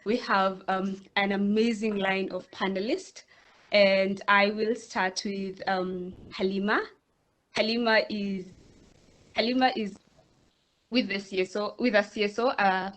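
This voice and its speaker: Kenyan woman moderating an online panel. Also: mid-range voice. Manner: friendly, measured introductions with fillers. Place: video call.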